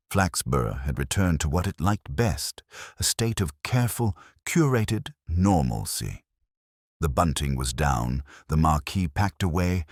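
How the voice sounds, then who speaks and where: Male narrator for detective fiction: extremely deep, measured, literary, expressive pitch movement, dry recording. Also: no room sound. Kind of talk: scripted mystery story narration.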